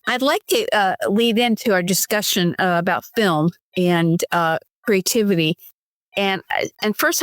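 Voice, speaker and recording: A woman hosts the conversation in a friendly, rambling way, circling back and correcting herself as she goes. Her voice sits at a middle pitch for a woman. The recording is close and dry. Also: fairly bright tone, no echo. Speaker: podcast host. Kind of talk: casual interview conversation.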